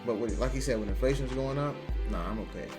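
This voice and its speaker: A man's voice: medium pitch, quick pace. Laid-back man reacting, music behind. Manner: laid-back, blunt slang asides. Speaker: reaction video host. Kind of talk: casual reaction commentary.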